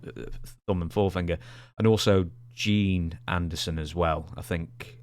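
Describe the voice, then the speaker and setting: A man's voice: sits low, steady tone, measured pace, clean tone. A man working as a podcast host, asking questions in a conversational interviewing style.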